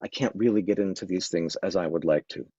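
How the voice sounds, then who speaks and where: A man's voice: low voice, fairly quick, mellow, breathy edge, no echo. A man giving an informal lecture on Taoist medicine. He has a cold and sniffles, and he talks thoughtfully with frequent ums and restarts. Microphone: microphone. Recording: dull, dry lo-fi sound.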